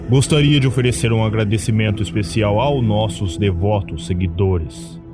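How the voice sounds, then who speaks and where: A man playing a solemn priest of a dark cult, speaking with grandiose, ceremonial reverence in a horror podcast. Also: on the low side, pitched below the performer's own voice, close to an everyday voice. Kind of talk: occult sermon in horror podcast. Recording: background music under voice.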